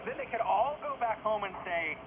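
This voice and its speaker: A man's voice: high voice, expressive, normal speed. A man talking through opinions in a radio discussion, heard over shortwave. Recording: tinny shortwave radio, heavy static.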